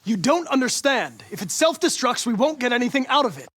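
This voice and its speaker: A young man acting as a polite, analytical android police investigator in a video game, speaking in a precise, formal, measured way. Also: very high voice, sing-song, fairly bright tone, husky, full character voice. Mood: urgent. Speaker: android detective.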